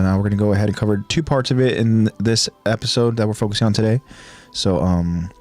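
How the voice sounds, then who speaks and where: Low-voiced, slightly nasal male podcast host. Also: a little mellow. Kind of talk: casual podcast chat. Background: background music.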